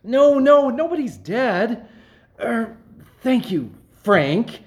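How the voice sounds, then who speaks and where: A woman's voice: on the high side, big pitch swings, extremely slow, heavily acted. A woman playing a wily male schemer in a stage farce, bossy and evasive as he stalls and improvises cover-ups. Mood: smoothing over, evasive. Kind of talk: stage farce play reading.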